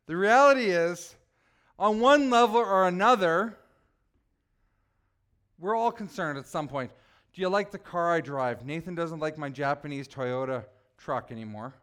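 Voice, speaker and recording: A man preaching a relaxed, conversational sermon, joking with his congregation and teasing people by name. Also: on the high side, a little slow, long pauses, smooth. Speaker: pastor.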